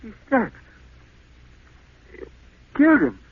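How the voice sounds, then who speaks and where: A man's voice: extremely high pitch, huge pitch swings, takes it slowly, husky, no echo, phone-quality sound, exaggerated voice. A man playing a nervy, incredulous crewman on a Mars mission in a 1950s radio drama. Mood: shocked panic. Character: nervy, incredulous rank-and-file spaceman in a Mars crew. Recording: thin, faintly noisy 1950s radio broadcast.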